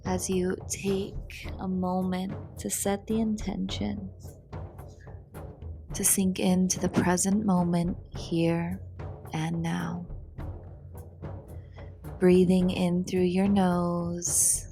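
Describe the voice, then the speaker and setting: A woman narrates a guided meditation in short, soothing phrases with long pauses. Her delivery is extremely slow and flat in pitch, with a creaky edge and breathy phrase endings. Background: meditation music.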